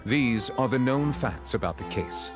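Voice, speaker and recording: An actor playing a stern, formal judge in a video game, speaking in a measured, authoritative manner. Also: male voice, mid-range voice, expressive, over music, natural voice. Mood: solemn, measured. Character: stern, formal male judge presiding over a court. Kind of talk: scripted game dialogue.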